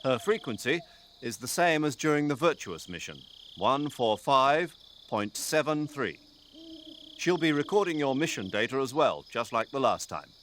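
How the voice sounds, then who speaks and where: A man voicing an older military commander in a video game, precise and drily good-humoured, articulate and measured as he briefs an agent. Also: medium pitch, sing-song, a bit bright, a little hiss or hum. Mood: businesslike, grave. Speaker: mission commander giving a radio briefing.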